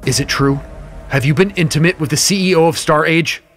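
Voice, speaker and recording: Casting: actor as hot-tempered male boss, petty and scornful, audio drama with soft music underneath. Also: on the high side, a little fast, close to an everyday voice. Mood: stern.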